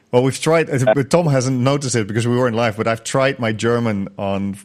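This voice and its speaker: A man's voice: medium pitch, normal speed, darkish tone. A man hosting an interview, asking long, chatty, rambling questions. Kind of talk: casual interview.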